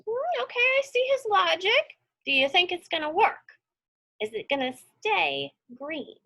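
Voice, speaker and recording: Bright, high-voiced woman reading to children. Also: very animated, unhurried, creaky. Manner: encouraging, asks listeners questions. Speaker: teacher hosting a children's read-along. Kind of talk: storybook read-aloud and informal chat to children.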